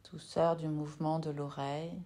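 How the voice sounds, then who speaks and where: A woman yoga nidra teacher leading a guided meditation in a soft, soothing, unhurried way with long pauses. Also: low voice, flat delivery.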